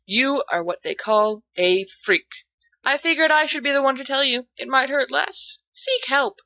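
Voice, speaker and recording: A woman's voice: sits high, lively intonation, unhurried, creaky, bright, forceful tone, no echo, pitched above the performer's own voice, full character voice. A woman voicing a brash, teasing teenage boy in a theatrical, mocking way, as in an audiobook. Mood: mock solemn teasing. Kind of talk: expressive audiobook character dialogue.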